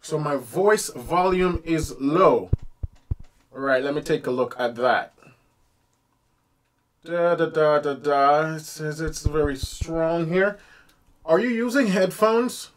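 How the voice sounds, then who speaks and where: A fairly high-voiced man teaching piano on a live stream, talking to viewers in an easy, chatty way. He thinks out loud as he fiddles with his streaming mic in a home music studio. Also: slow pace, pauses often. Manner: casual, chatty, troubleshooting aloud with viewers.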